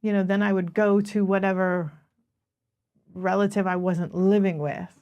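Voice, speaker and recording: A North American woman talks as a podcast co-host, sharing memories informally. Her pitch is average for a woman, and her delivery is that of casual, personal conversation. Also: fairly even intonation, normal speed, lo-fi sound.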